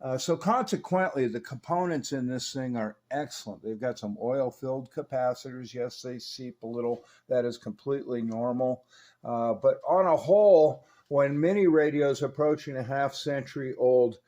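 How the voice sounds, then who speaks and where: A man's voice: medium pitch, moderate pace, warm tone. A man giving an informal narrated repair demonstration in a conversational, matter-of-fact and opinionated way. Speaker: radio repair hobbyist, video host.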